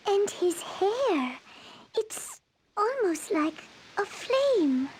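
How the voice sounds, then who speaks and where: Anxious young girl, very high voice, unhurried, timid and halting, fantasy game dub, faint hiss. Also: very animated, darkish tone, exaggerated voice, poor audio. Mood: curious wonder. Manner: timid, halting, trembling when afraid. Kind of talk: English video game dub acting.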